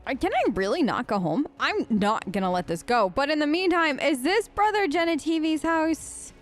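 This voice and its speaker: A woman hosting a casual role-play let's play, talking in a playful, theatrical, halting way as her character. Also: high-pitched, expressive, faint music behind. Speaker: gaming host, role-playing as her character.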